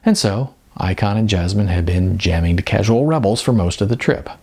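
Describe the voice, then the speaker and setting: This is a man reading scripted fiction aloud. His voice sits fairly low and has a creaky, husky grain. His intonation rises and falls in a lively way across the narration. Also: fairly quick. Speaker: audiobook narrator.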